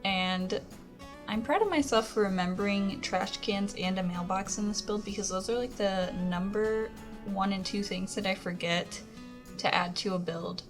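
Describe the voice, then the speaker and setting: A hoarse young woman giving a chatty, rambling voice-over while she talks through her build. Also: mid-range voice, normal speed. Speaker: Sims speed-build YouTuber. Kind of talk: casual voice-over commentary. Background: background music.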